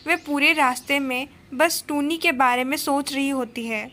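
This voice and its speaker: A woman's voice: sits high, moderate pace, sharp tone, slight background noise. A woman narrating a scripted children's story.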